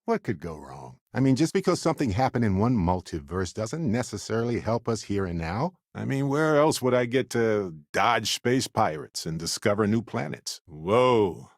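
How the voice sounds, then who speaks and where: A man playing an affable, wry, adventurous space explorer companion in a video game, with playful, expressive delivery. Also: fairly low pitch, moderate pace, few pauses, no room sound, studio quality. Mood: wry, amused, then alert.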